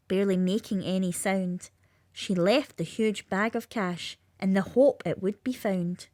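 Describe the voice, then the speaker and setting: A woman's voice: on the high side, darkish tone, no echo, studio quality. A woman with a lilting, sing-song delivery, reading a rhyming children's story aloud.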